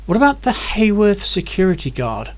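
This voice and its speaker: Brief: man doing an impatient young hero, pitched up, lively, pushing questions. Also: a little fast. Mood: eager. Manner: raised, eager questioning.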